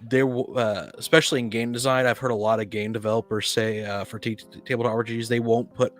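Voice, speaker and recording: A man hosting a casual discussion of tabletop games, talking in a halting, rambling way that often repeats words. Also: mid-range voice, little pitch movement, soft background music.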